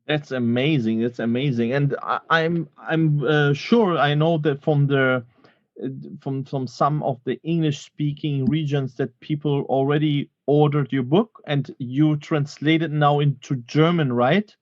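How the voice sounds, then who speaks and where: Host: man, slightly high voice, hesitant and stumbling, muffled lo-fi audio. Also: steady tone, unhurried.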